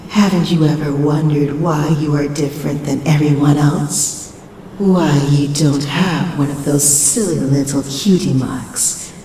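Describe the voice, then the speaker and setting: A woman playing a sinister shadow-creature mother, speaking in a deliberate, mock-tender way for a dramatic comic dub. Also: low-pitched, mellow. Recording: roomy, distant, faint background noise.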